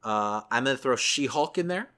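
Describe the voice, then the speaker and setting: A man's voice: medium pitch, animated, normal speed, pushed and bright. A man co-hosting a podcast, chatting casually and thinking aloud as he goes. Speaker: podcast co-host. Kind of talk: casual chat about comic book films.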